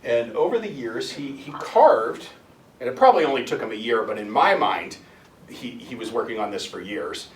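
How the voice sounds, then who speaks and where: A man in his fifties giving a halting, earnest storytelling talk as a guest speaker at a spiritual center. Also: medium pitch, expressive. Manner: halting, many restarts and fillers, earnest. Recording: echoey room, mic at a distance, faint ambience.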